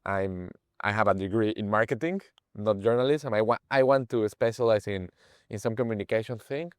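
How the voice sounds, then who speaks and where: Young Mexican man, smooth and low. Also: no echo, studio quality. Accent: Mexican Spanish. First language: Spanish. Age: 20s. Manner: halting, searches for words in English. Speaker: guest, page owner. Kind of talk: casual interview chat.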